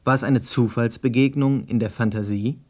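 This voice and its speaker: Male interviewer, medium pitch, scripted radio questions and narration. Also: fairly even intonation, normal speed, darkish tone.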